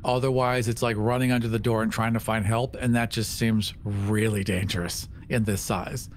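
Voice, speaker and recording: A man's voice: on the low side, level tone, moderate pace, a little hiss or hum. A man playing a tabletop game, chatting casually and thinking his moves through aloud. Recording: music underneath. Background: background music.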